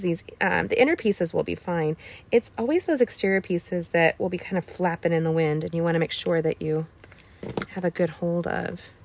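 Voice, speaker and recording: A woman with a slightly scratchy voice hosting a card-making tutorial, chatting casually with playful asides and dropping into whispers. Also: mid-range voice. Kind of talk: casual craft tutorial chat.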